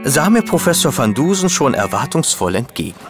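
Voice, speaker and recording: An actor playing a loyal, hot-headed detective's companion and chronicler in an audio drama, with earnest, expressive delivery. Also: male voice, mid-range voice, brisk, over music, natural voice. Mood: brooding narration. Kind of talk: German audio drama acting with first-person narration.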